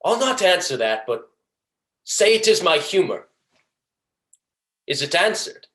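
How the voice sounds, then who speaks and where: A young man playing a proud, vengeful merchant villain in a Shakespearean stage monologue, declaiming deliberately and tauntingly. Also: high voice, big pitch swings, a bit bright, pitched above the performer's own voice, exaggerated voice. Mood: taunting, forceful. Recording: echoey room.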